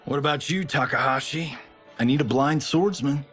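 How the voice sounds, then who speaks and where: An actor playing a cocky, upbeat Hollywood action star turned director in a video game, breezy, slangy and wisecracking. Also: male voice, a little high, normal speed, fairly bright tone, faint music behind, close to an everyday voice. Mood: breezy, playful. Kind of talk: cinematic game cutscene acting.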